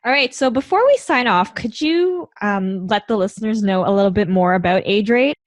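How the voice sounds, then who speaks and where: A woman's voice: medium pitch, lively intonation, normal speed, dry recording. Chatty woman podcast host. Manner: chatty, friendly questioning with fillers. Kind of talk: casual interview chat.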